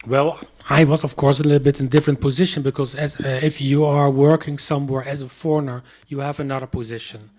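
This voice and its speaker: Hesitant man, mid-pitched. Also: level tone, fast, few pauses, darkish tone. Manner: hesitant, many fillers and restarts. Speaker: artist, panel guest. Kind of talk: public panel conversation.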